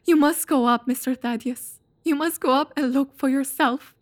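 Woman acting a high-pitched, distraught old housekeeper. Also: fairly even intonation, normal speed, close to an everyday voice. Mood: anxious pleading. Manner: plaintive, agitated. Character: frightened, distraught elderly female housekeeper, loyal and fretful. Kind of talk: audio drama acting of a classic mystery.

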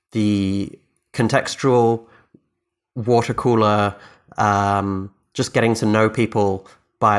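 Low-voiced founder, thoughtful and rambling. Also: male voice, a bit bright. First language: Dutch. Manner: thoughtful, rambling, frequent restarts and fillers. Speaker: startup founder. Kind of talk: casual interview answers.

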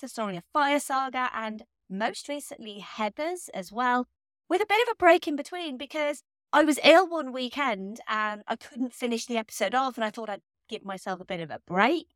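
A woman hosting a podcast alone, talking in a chatty, enthusiastic way while still slightly hoarse from laryngitis. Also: sits high, fairly bright tone, no room sound, studio quality. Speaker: podcast host. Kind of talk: solo podcast monologue.

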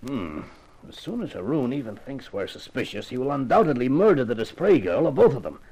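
Gentlemanly government chief, animated intonation, measured formal explanations, polite authority, 1950s radio drama. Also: male voice, mid-range voice, breathy edge, rough recording. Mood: earnest, authoritative. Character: courteous, authoritative gray-haired male government official.

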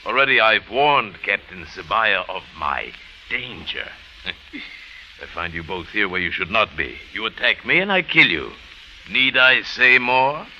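An actor playing a coolly threatening swindler in a vintage radio drama, speaking in formal, deliberate phrases. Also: male voice, on the low side, lively intonation, pushed and bright, full character voice. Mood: cold menace. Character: smooth-talking, menacing male swindler villain. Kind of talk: 1940s-50s radio drama acting. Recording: muffled old radio recording, faint noise.

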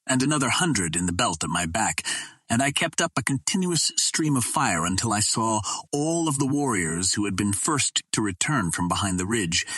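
A man narrates an adventure novel with formal, measured phrasing. His voice sits on the low side and has a distinctly husky grain. The sound is close and dry, suited to dramatic storytelling. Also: fairly bright tone, no room sound. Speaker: audiobook narrator.

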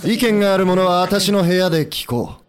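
An actor playing a resolute, Western-minded navy commander in a historical audio drama, speaking formally and persuasively with assurance. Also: male voice, high-pitched, moderate pace, a crowd in the background, lightly acted. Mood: resolute, commanding.

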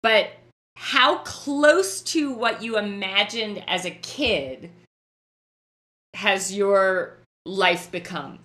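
A woman's voice: mid-range voice, drawn-out words, sharp tone. A woman hosting a podcast who interviews her guest with effusive praise. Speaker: podcast host.

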